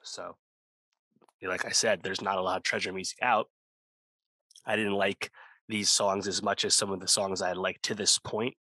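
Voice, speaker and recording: A man with a low, bright voice talks through a music review in a loose, rambling way, full of fillers and restarts. He sounds close to the mic in a dry, dead room. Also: level tone, moderate pace, pauses often, no echo, low-quality recording. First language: English speaker, not Korean. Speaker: podcast co-host. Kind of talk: casual music review chat.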